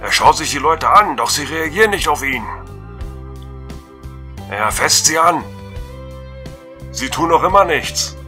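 An actor playing a nervous reporter calling in a strange scene in a radio drama. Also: male voice, on the high side, lively intonation, unhurried, pauses often, pushed and bright, faint music behind. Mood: alarmed. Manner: tense, alarmed. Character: nervous male reporter on a rooftop. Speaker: field reporter.

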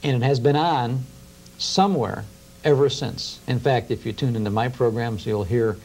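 Gravelly American man explaining with enthusiasm. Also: medium pitch, normal speed, a little mellow, a little hiss or hum. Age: middle-aged. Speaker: guest, radio historian. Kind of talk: conversational interview. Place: small TV studio. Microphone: lapel mic.

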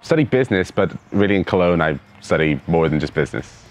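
Enthusiastic young Northern Irish man, deep. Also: lively intonation, quick pace, a little hiss or hum. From Northern Ireland. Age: young adult. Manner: enthusiastic, repeats words. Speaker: exchange student. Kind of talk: casual interview answers. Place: university courtyard outdoors. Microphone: lapel.

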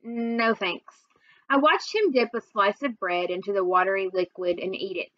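A woman's voice: medium pitch, expressive, a little fast, no echo, highs cut off. A young adult woman narrating a children's book as a read-aloud, recorded indoors on a webcam. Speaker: reader. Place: small room at home, indoors.

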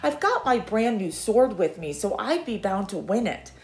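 A woman doing a gentle, polite young knight. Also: mid-range voice, sing-song, normal speed. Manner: polite, soft and coaxing. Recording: roomy echo.

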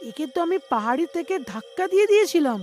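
Man doing a shrill, cowardly village villain. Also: very animated, quick pace, darkish tone, soft background music. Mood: terrified. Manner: exclaiming, grumbling, whining. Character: cowardly, callous male village villain in a cartoon.

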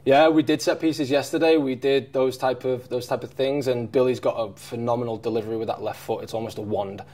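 A man's voice: mid-range voice, steady tone, a little fast, mellow. A man in his thirties, a head coach reflecting on a match at a press conference, with many fillers and restarts. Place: press room in front of a sponsor backdrop.

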